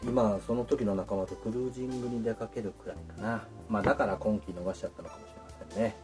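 A man's voice: fairly low pitch, steady tone, fast talker, over music, close to an everyday voice. A man playing a polite, shy, earnest suitor in a romantic radio drama, with courteous and slightly bashful phrasing. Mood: earnest, flirtatious.

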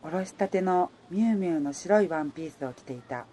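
A woman's voice: lowish voice, animated, fast, dark tone, a little hiss or hum, natural voice. A woman playing a level-headed heroine in her thirties who also narrates her romance in a Japanese radio drama. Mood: reflective narration.

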